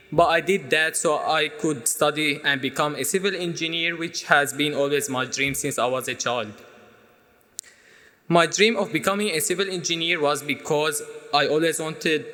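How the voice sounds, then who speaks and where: A young man with a Syrian Arabic accent delivers a prepared personal speech in a reverberant hall. His voice sits on the high side for a man and has a bright, projected edge. He is a native Arabic speaker in his twenties. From Aleppo, Syria. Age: 20s. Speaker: student speaker at an event.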